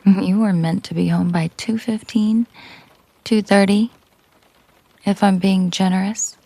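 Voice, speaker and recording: A woman playing a possessive, coolly menacing mafia boss girlfriend in an intimate audio roleplay, teasing and commanding in a breathy, husky murmur. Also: medium pitch, fairly even intonation, a little slow, mellow, no room sound.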